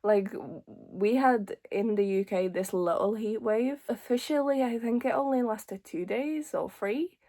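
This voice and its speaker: A woman's voice: fairly high pitch, measured pace, warm tone. A woman of twenty-two from Hungary, talking casually to camera as a lifestyle vlogger, informal and chatty, peppering her talk with small filler words.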